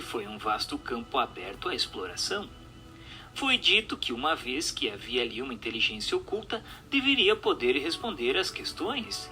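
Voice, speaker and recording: A man with a Brazilian accent reads scripted, didactic narration aloud in a formal, declamatory manner with measured phrasing. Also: mid-range voice. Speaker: narrator reading a book aloud.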